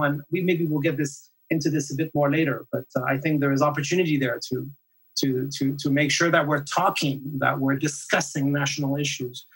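A man's voice: on the high side, a bit bright, husky, low-quality recording. A man whose first language is French, a podcast guest talking reflectively, often restarting and repeating words. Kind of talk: conversational interview.

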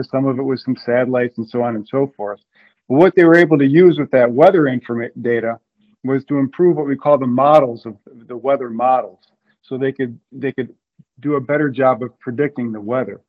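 A man's voice: mid-range voice, muffled recording. A man from Ohio, a guest in an interview, explaining at length with frequent restarts. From Ohio, USA.

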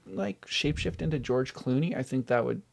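Casual podcast guest guy, bit of vocal fry, jokey informal answers. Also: medium pitch, expressive, moderate pace, crowd noise. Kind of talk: casual chat, answering quick-fire questions.

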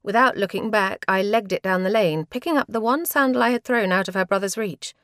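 A woman reading a first-person short story in expressive, scripted narration. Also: medium pitch, a little fast, no room sound, clean studio sound.

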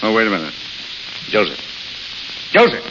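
A flustered, self-important gentleman in an old radio drama. His pitch moves animatedly as he blusters. The sound is reverberant, muffled and noisy. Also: wildly swooping pitch, normal speed. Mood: pompous, flustered. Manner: pompous, flustered. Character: blustery, well-to-do chauffeured gentleman traveler. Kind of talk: 1930s radio acting.

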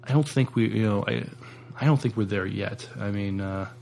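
A man's voice: on the low side, normal speed, creaky, darkish tone, a little hiss or hum. A man co-hosting a podcast in casual chat, speaking hesitantly and often correcting himself. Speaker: podcast co-host.

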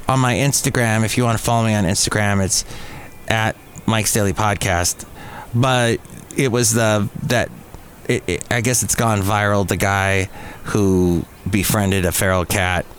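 A man's voice: on the low side, measured pace. Rambling American podcast man, noisy recording. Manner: rambling, jokey, self-mocking asides. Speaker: podcast host. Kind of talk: casual solo podcast monologue. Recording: clearly audible background noise.

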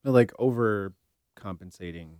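A man's voice: lowish voice, slow, a little mellow, smooth, dry recording, clean studio sound. A man co-hosting a podcast, talking informally and loosely as he reasons things out. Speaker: podcast co-host. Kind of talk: casual podcast discussion.

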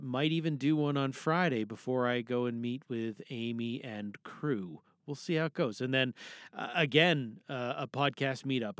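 A man's voice: mid-range voice, fairly bright tone, clear, resonant tone, no room sound. A man hosting a podcast in a casual, rambling, informal way, close on a microphone in a small booth. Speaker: podcast host. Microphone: boom microphone at a desk.

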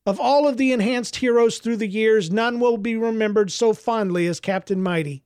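High-pitched man narrating fiction. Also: normal speed, a little mellow, clean tone, no echo. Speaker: audiobook narrator.

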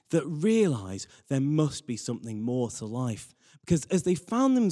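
A man's voice: mid-range voice, warm tone. A man preaching a sermon in an earnest manner, stressing his points with repeated phrases. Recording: reverberant hall.

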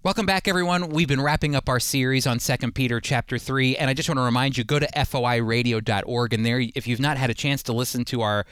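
A man's voice: medium pitch, fast talker, hardly any pauses, a bit bright, smooth, dry recording. A man hosting a radio Bible program, preaching conversationally and earnestly with emphatic, repeated phrasing into a close studio mic. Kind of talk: conversational radio sermon with scripture reading.

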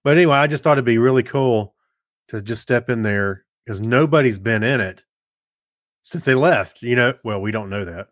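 A man co-hosting a podcast, chatting and reading segments in a halting, rambling, joking way. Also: medium pitch, fairly bright tone, no echo, clean studio sound. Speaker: podcast co-host. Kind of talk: casual chat and segment reading.

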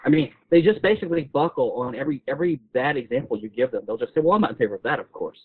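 A man's voice: mid-range voice, expressive, rapid-fire, a little mellow, breathy edge. A man explaining as a guest expert in an informal discussion, often repeating and rephrasing his phrases. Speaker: guest expert on patent law. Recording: roomy, phone-like call audio.

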